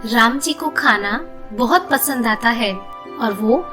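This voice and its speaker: A woman's voice: fairly high pitch, slow pace, over music. A woman giving scripted narration of children's moral stories.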